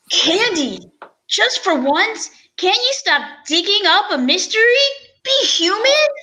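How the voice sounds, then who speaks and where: A woman playing a protective, weary male homicide inspector in an amateur old-time radio drama, with a measured, put-upon delivery. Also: very high voice, very animated, pushed and bright, heavily acted, rough recording. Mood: exasperated.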